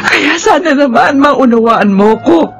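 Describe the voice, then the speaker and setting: A woman's voice: high-pitched, expressive, unhurried. An actress playing a remorseful, tearful mother pleading with her child in a melodramatic Filipino radio drama. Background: loud dramatic music.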